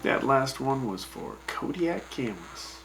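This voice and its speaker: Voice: medium pitch, big pitch swings, measured pace, creaky, over music, poor audio. A performer voicing a wry, teasing radio host who introduces old jingles. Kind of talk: fan radio drama.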